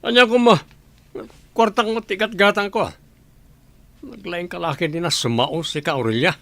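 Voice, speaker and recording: A man's voice: sits high, normal speed, gravelly, a bit bright, no echo. A man playing a brazen, idle, cheeky husband in an Ilocano comedy radio drama. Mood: brazen, teasing.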